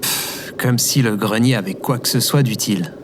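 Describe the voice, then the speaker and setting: A man's voice: fairly low pitch, normal speed, noisy background, lightly acted. An actor, a man, playing a wry, grumbling companion in an audio drama, casual and sarcastic. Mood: skeptical.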